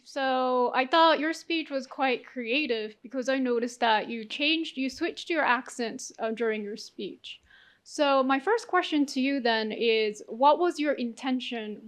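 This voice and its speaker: Higher-voiced woman judge asking questions. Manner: measured, friendly, probing. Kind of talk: interview questioning. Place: conference hall.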